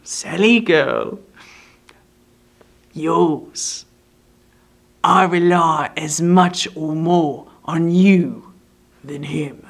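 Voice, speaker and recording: A man's voice: a little high, extremely slow, pauses often, heavily acted. A man doing a sneering, spiteful dwarf. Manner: sneering, hissing, contemptuous. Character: spiteful scheming male dwarf, contemptuous.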